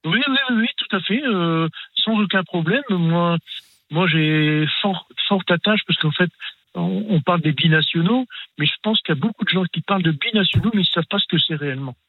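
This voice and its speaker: A man's voice: sits high, fairly quick, no echo. An older man from France calling in to a radio talk show, speaking hesitantly with many fillers and restarts. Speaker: caller. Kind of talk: radio call-in. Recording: tinny phone line.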